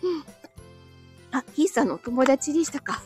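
A woman's voice: sits high, expressive, normal speed, a little mellow, soft background music. A woman live streamer chatting casually and answering comments, giggly, tired and a little short of breath after being ill. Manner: giggly, often breaking into small laughs.

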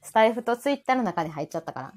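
A woman's voice: a little high, animated, quick pace, darkish tone, faint music behind. A woman with a Kansai Japanese accent chatting casually and teasingly as co-host of a live show. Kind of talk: casual chat.

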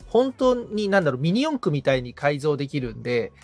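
A man's voice: a little high, big pitch swings, brisk, soft background music. A man assisting on a podcast, talking casually but politely and thinking aloud as he answers. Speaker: podcast assistant, guitar repairman.

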